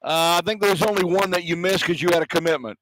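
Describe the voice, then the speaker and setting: A man's voice: high-pitched, fast, a bit bright, dry recording, low-quality recording. An older man working as a radio play-by-play broadcaster, giving postgame sports commentary and chat on a headset mic. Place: courtside at a basketball arena.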